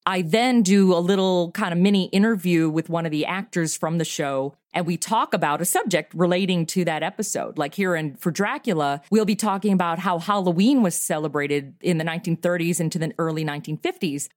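A woman's voice: on the low side, a little fast, hardly any pauses, darkish tone, no room sound, clean studio sound. A woman chatting informally as a guest in a casual interview. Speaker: interview guest, audio comedy creator.

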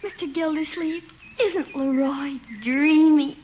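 A very high-voiced, lovestruck little girl. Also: fairly even intonation, slow pace. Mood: dreamy. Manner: cooing, giggly, breathless adoration. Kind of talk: 1950s radio sitcom acting. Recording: noisy, muffled old radio recording, audience.

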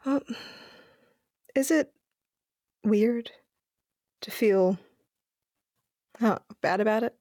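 A woman's voice: fairly high pitch, extremely slow, pauses often, mellow, no room sound. A woman playing a guarded, stubborn young sniper weighed down by grief, in an audio drama. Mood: hesitant. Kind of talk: audio drama narration and dialogue.

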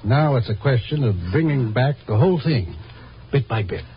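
Man playing a calm radio-drama doctor, muffled sound. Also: medium pitch, close to an everyday voice. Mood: grave, explaining. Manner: measured, instructing. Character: calm, authoritative doctor guiding a drugged man's recall. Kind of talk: 1950s radio drama acting. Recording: muffled old radio broadcast, music behind.

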